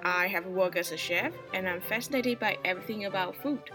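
A woman from Taiwan with a Taiwanese accent, co-hosting a casual food podcast and talking in quick bursts while searching for words. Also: medium pitch, music in the background. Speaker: co-host. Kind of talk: casual chat.

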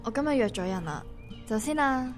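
A woman acting as a composed, probing and quietly wounded first love in a Cantonese radio drama. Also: fairly high pitch, expressive, fairly quick, lightly acted. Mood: cool, resolved. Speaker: voice actor playing the first love. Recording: music under the dialogue.

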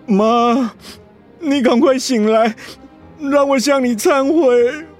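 A man's voice: extremely high pitch, steady tone, slow pace, soft background music. A man acting in a radio drama as a remorseful, conflicted grown son, earnest and pleading in manner. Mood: tearful pleading.

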